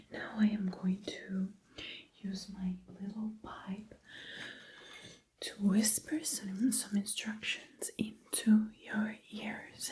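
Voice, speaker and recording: Female ASMR nurse: breathy whisper, slow delivery, gentle and reassuring instructions, close-miked. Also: medium pitch. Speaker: ASMR performer playing a nurse giving tests. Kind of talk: ASMR medical roleplay. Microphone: close ear-to-ear ASMR microphone.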